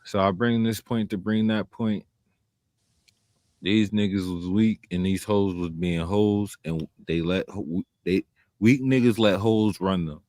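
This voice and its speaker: A man's voice: on the low side, a little slow, a little mellow, dry recording. A man talking casually and bluntly on a podcast, profane and repeating his points for emphasis. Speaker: podcast host. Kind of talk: casual chat.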